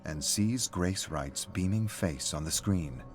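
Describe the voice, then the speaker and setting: A man reading a scripted dark story as a narrator. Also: deep voice, animated, slow pace. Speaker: reader of story entries. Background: music.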